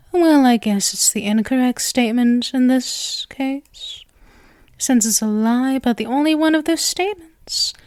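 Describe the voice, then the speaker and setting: A woman acts out a sweet yandere witch for an ASMR roleplay. Her voice sits fairly high and moves very slowly, coy and doting with a playful menace underneath. The sound is dry and close, free of room echo. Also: a little mellow. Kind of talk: ASMR roleplay, scripted acting.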